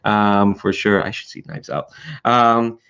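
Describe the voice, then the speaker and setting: A man's voice: on the low side, level tone, measured pace, a bit bright, clear, resonant tone, dull audio. A man running a tabletop roleplaying game as game master in casual, conversational narration.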